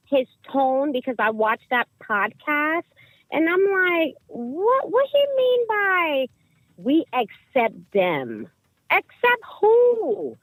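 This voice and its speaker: A woman's voice: high voice, dry recording, low-quality recording. A woman from Guyana with a Guyanese accent, calling in to give her opinion bluntly and emphatically. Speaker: caller. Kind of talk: call-in opinion.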